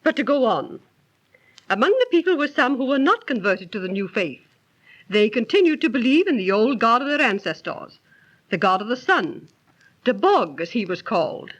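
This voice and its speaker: Genteel aunt, proper storytelling manner, very lively intonation, dull lo-fi 1930s radio recording. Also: female voice, on the high side, moderate pace. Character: gently scolding, genteel aunt telling a tale. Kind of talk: 1930s radio drama acting.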